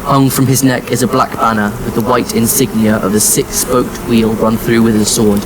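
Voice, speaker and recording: A somber male wanderer played by an actor tells his science fiction story in the first person. His voice sits at a medium pitch, measured and reflective, with clear background noise around it. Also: flat delivery, close to an everyday voice. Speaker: narrator and lead character in an audio drama. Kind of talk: first-person scripted narration.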